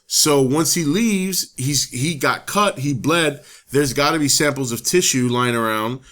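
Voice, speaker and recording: A man with a hoarse voice hosting his own talk show, thinking aloud in a casual, rambling way. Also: mid-range voice, a little slow, a bit bright. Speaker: host. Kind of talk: casual solo talk to camera.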